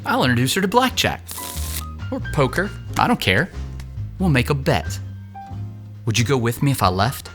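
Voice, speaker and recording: A man's voice: medium pitch, sing-song, normal speed, long pauses, a bit bright, faint music behind. A man playing a cocky, whiny, entitled young rich son in an audio drama, sarcastic and theatrical. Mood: cocky wheedling. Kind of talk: scripted audio drama acting.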